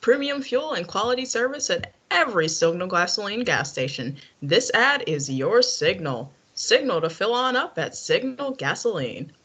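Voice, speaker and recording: A woman playing a husky-voiced spokeswoman reading a scripted radio commercial. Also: medium pitch, very animated, moderate pace, hardly any pauses, fairly bright tone. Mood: salesy.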